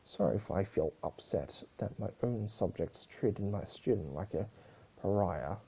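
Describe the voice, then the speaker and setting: A man's voice: deep voice, unhurried, gravelly, warm tone, husky, exaggerated voice, poor audio. A man voicing a grieving, furious female pony ruler in a solemn, accusing speech-like manner. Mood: upset.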